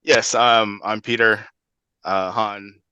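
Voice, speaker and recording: A man's voice: lowish voice, steady tone, slow, pushed and bright, clean tone, no room sound, lo-fi sound. A man from Houston, a panel guest introducing himself hesitantly with many fillers. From Houston, Texas.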